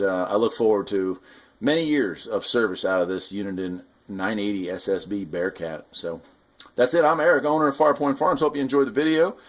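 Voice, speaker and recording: A man giving a casual hands-on product demonstration, explaining things in an informal, conversational way as a hobbyist host. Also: fairly low pitch. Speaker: radio hobbyist host reviewing gear.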